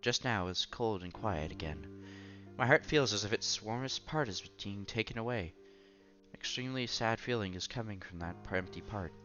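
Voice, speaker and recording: A man's voice: lowish voice, lively intonation, moderate pace, bright, forceful tone, muffled recording. A man playing an earnest, bashful young college student who narrates his own romance in a visual novel. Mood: wistful. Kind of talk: visual novel read-aloud. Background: music under the voice.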